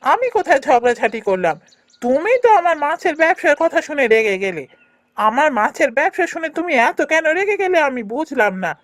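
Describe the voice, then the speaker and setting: A woman's voice: sits high, big pitch swings, a little fast, no echo. A woman voicing a stubborn, argumentative younger brother, a village trader, in a cartoon. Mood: indignant. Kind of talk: cartoon voice acting.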